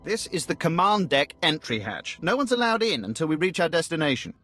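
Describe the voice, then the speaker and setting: A rigid young-sounding soldier on guard in a sci-fi game. His voice is high and animated, his manner curt and uneasy. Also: brisk, faint music behind. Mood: tense, stern. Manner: curt, military. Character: rigid, nervous male imperial guard soldier.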